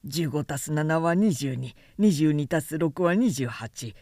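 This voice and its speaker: A brusque older man of business, acted out by a woman, talks in a lowered voice. He is preoccupied and gruff, a storybook figure forever busy with figures. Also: animated, a little slow, mellow, no echo, clean studio sound. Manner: brusque, preoccupied, muttering numbers.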